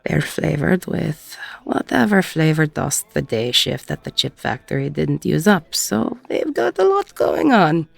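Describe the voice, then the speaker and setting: A woman playing a weary, regretful ex-convict bar owner in an intimate audio roleplay, with a husky, gravelly voice and a reflective delivery full of pauses. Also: sits low, big pitch swings, no echo, exaggerated voice. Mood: wry, amused. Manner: slow, reflective, pausing mid-sentence.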